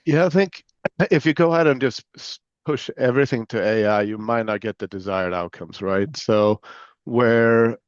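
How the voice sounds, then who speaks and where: A man from Sweden with a Swedish accent blended with American Southern tones, answering interview questions in a relaxed, filler-filled way. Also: mid-range voice, normal speed, no room sound, muffled recording. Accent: Swedish mixed with American Southern. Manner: relaxed, many fillers and restarts. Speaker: guest, security executive.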